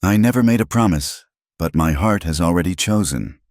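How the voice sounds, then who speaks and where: A man's voice: deep voice, animated, normal speed, darkish tone, no room sound, studio quality. A man voicing an earnest, frustrated man pleading his love in an audiobook story, raw with emotion. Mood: aching, sincere.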